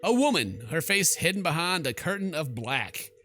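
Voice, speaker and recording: A man's voice: on the high side, very animated, normal speed, pushed and bright, slight background noise. A young man hosting a gameplay video, reading story text aloud theatrically and throwing in jokey asides. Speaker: gaming video host. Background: game music.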